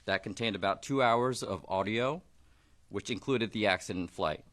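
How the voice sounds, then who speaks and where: Low-voiced man giving a technical briefing. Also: normal speed, fairly bright tone. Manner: factual, matter-of-fact. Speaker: technical investigator.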